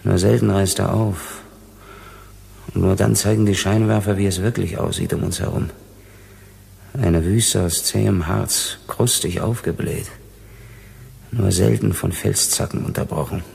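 An actor playing a rough, proud, embittered miner in a German science fiction radio drama, with a gravelly, intense delivery. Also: male voice, low voice, a little slow, long pauses, darkish tone, exaggerated voice. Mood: brooding, absorbed.

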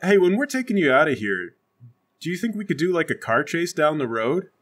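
Guy doing an overeager tabletop game cop, mock tough, bright voice, pitch all over. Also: very animated, a little fast. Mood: hopeful. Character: overeager, self-important cop arresting a suspect.

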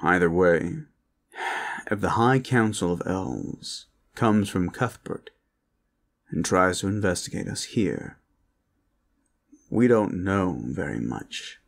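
A man playing a weary, serious and cautious protector in an audio roleplay, speaking in a measured, earnest way. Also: deep voice, animated, gravelly, full character voice. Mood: cautious, reassuring.

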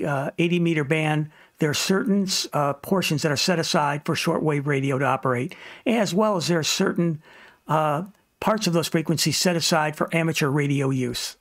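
A man's voice: fairly high pitch, moderate pace. A man narrating an informal instructional slide presentation in a plain-spoken, explanatory way, giving emphatic advice. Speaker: presenter of a ham radio tutorial channel.

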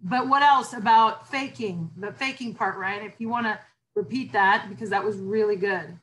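A woman instructor with a low voice leading an online class discussion, emphatic and animated when talking theory. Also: normal speed, poor audio.

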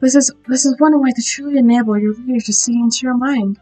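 A woman voicing a shy, bookish teenage girl, reading softly with small slips. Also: on the high side, steady tone, faint music behind, close to an everyday voice, low-quality recording. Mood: timid. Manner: soft, halting reading with slips. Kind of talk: reading visual novel lines aloud.

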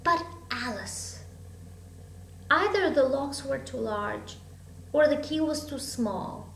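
A woman in her thirties reads a children's story expressively, with dramatic, deliberate phrasing, in a room at home. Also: high voice, animated, vocal fry. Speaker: storybook reader. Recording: echoey room, hum and background noise.

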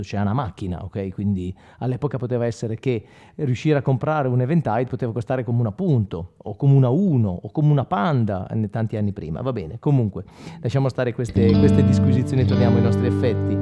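A man, explaining things informally in a conversational tutorial manner, often checking in with the listener. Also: medium pitch. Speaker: guitar instructor and product demonstrator. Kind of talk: informal video tutorial, explaining between guitar demos. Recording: dull lo-fi sound, loud guitar playing. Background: loud electric guitar with effects.

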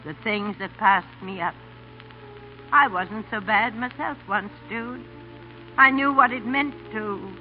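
A downtrodden, desperate middle-aged mother in old radio melodrama. She pleads slowly and haltingly, on a dull vintage recording with faint music. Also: high voice. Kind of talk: 1930s radio melodrama acting. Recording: dull 1930s radio broadcast.

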